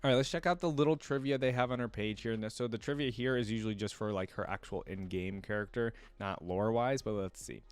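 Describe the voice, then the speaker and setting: A man's voice: lowish voice, quick pace, hardly any pauses, clear, resonant tone, dry recording. A man hosting a reaction video, chatting casually to viewers, thinking aloud and reading wiki text out as he goes. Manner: conversational, thinking aloud, often musing to viewers.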